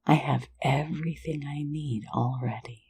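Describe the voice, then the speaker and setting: A woman's voice: extremely low pitch, moderate pace, vocal fry, darkish tone, husky, exaggerated voice. A woman voicing a sly, playful phantom thief in an ASMR roleplay, mostly whispering close to the mic in a teasing, soothing way. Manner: teasing, soothing, lulling listener to sleep.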